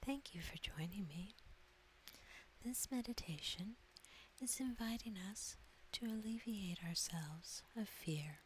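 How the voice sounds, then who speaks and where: A woman leads a guided meditation in a soft, breathy ASMR voice that hovers near a whisper. She speaks extremely slowly and deliberately, with a gentle, soothing manner and a medium pitch. A faint hiss sits behind her voice. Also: expressive, poor audio.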